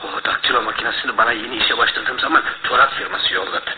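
A male actor playing a paranoid, downtrodden suspect in a radio drama, agitated, halting and defensive. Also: medium pitch, lightly acted. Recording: echoey, tinny, noisy old radio recording.

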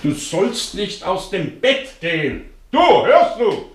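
An actor playing a cynical, eloquent middle-aged professor in a mid-century radio play, with a theatrical, sardonic delivery. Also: male voice, high voice, big pitch swings, slow pace, slightly rough, exaggerated voice. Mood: alarmed, agitated.

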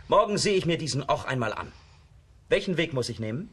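A man playing a bold, strong hero in a children's folk-tale radio play, with a husky voice and a determined manner. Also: fairly high pitch, fairly quick, heavily acted. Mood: calm resolve. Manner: lively, determined, taunting.

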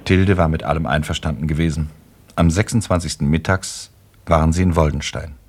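A man's voice: rumbling low, steady tone, fairly quick, slightly rough. A man reading scripted literary narration as the narrator of a radio play.

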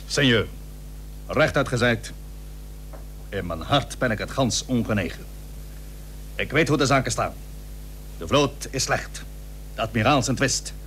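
Radio play casting: a plain-spoken, reluctant naval hero, weighty archaic speech, lively pitch movement, faintly humming old recording. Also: male voice, unhurried, pauses often, a little hiss or hum. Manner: blunt, formal archaic diction, weighty. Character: De Ruiter, plain-spoken, reluctant but dutiful 17th-century sea captain. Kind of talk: Dutch historical radio play acting.

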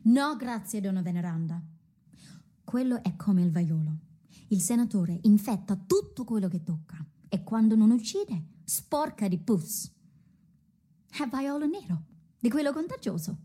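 An actress as a husky, melancholy cabaret woman. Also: mid-range voice, animated, a little slow. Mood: bitter refusal. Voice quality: soft, husky. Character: weary, proud, melancholy female cabaret singer and prostitute. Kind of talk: dramatic radio theatre acting.

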